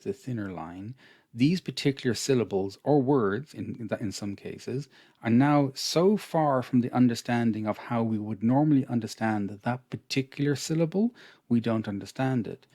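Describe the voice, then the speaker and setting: A man with an Irish accent, an English teacher explaining a lesson over slides in a measured, patient manner. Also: mid-range voice, mellow, no echo, studio quality. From Ireland.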